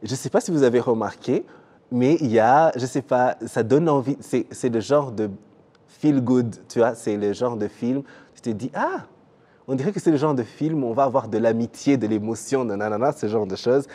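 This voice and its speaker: A man hosting a TV interview show in a relaxed, casual way, with frequent fillers and easy laughter. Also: mid-range voice, moderate pace, husky. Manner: easygoing, laughs often, many fillers. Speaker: TV show host.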